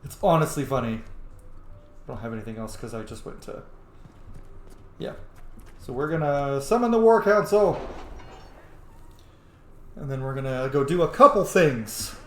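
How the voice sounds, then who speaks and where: A young man chats his way through a video game in a loose, rambling style full of joking asides. His voice is mid-pitched, and the room around him is echoey, with faint noise. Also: animated, a little slow, pauses often, a bit bright. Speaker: streamer playing a video game. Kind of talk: casual playthrough commentary. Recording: roomy echo, faint background noise.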